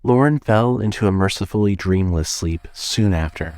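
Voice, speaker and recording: A man's voice: deep voice, normal speed, noisy background. A man giving scripted narration as the narrator of a fiction podcast.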